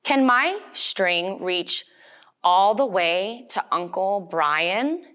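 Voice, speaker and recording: A woman's voice: mid-range voice, expressive, extremely slow, bright, forceful tone, clear, resonant tone, exaggerated voice. A woman voicing a curious, wondering little boy in a children's storybook, full of questions. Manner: questioning, wide-eyed.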